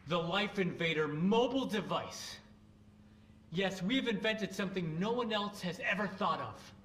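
An actor playing a smug, grandiose young tech founder giving a satirical game keynote, pompous, with emphatic pauses between phrases. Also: male voice, high voice, expressive, a bit bright. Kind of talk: satirical product launch keynote, game cutscene. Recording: echoey hall with crowd noise.

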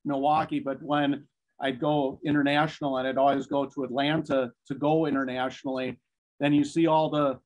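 Flat-voiced middle-aged man on video call. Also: mid-range voice, moderate pace, rough recording. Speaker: company executive guest. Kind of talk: casual chat over video call. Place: office room with a wall map, indoors. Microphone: video call webcam.

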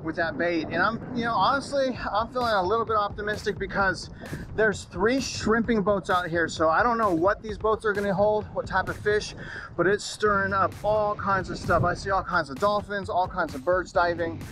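A man's voice: high-pitched, normal speed, noisy background. A man in his forties talking casually to viewers as host of an outdoor fishing vlog, repeating himself for emphasis. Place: outdoors on an open beach and in a kayak at sea.

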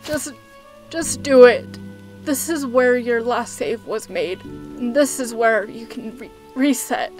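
A woman plays a tired, tender skeleton man in a comic dub. The voice is high and slow, stumbling over words with a protective softness, over prominent music. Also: low-quality recording. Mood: broken, resigned. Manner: halting, stammering, tender. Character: weary, emotional male skeleton, gentle and protective. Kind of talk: amateur comic dub acting.

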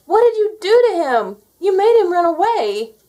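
A woman voicing an excitable, imaginative and sensitive young boy in a storybook. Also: very high-pitched, normal speed, voice pushed up. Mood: upset, crying out.

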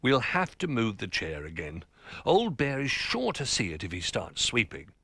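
A man's voice: mid-range voice, huge pitch swings, normal speed, vocal fry, bright, forceful tone, breathy edge, no room sound, full character voice. A man voicing a nervous, conscientious male toy rabbit in a storybook, hesitant and fretful.

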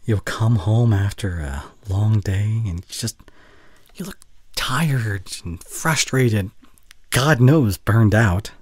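A man playing a caring, worried boyfriend in an intimate audio roleplay, with a soft, breathy voice and tender, halting delivery close to the microphone. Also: lowish voice, no room sound. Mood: concerned, tender. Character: caring, worried young boyfriend, gentle and earnest. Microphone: close studio condenser microphone.

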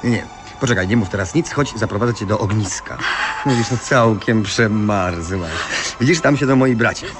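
An actor playing a caring, protective spirit guide in an audio fairy tale. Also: male voice, fairly low pitch, moderate pace, lightly acted. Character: caring, protective male month spirit guiding a child. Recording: old radio play with music behind.

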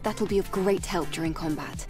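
A woman's voice: lowish voice, moderate pace, over music, lightly acted. A woman playing a resolute, composed young female android warrior in a video game, speaking seriously. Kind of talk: cinematic video game dialogue.

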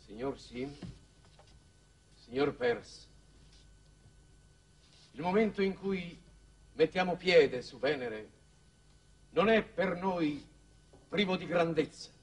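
A man's voice: high voice, very animated, slow pace, gravelly, long pauses, exaggerated voice. An actor playing a pompous middle-aged statesman giving grand, solemn oratory in an old 1960s TV drama. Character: pompous male statesman making a solemn official speech. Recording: distant, noisy old 1960s TV soundtrack.

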